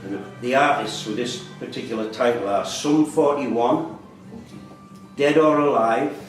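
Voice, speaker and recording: A man's voice: mid-range voice, unhurried. A man reading out questions as the quiz master at a live quiz. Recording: echoey room, distant mic, loud background music.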